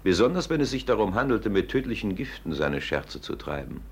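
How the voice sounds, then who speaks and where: Low, ponderous, knowing expert. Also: male voice, very animated, fairly quick, breathy edge, full character voice. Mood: grave, ironic. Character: knowing, ponderous male expert on poisons, gravely ironic. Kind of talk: 1950s German radio play acting.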